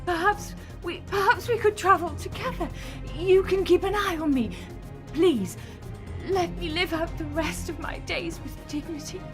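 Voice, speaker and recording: A woman plays a desperate, bitter renegade villainess in a sci-fi audio drama. Her voice is high and very slow, full of melodrama, over faint music. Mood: desperate pleading. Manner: melodramatic, pleading.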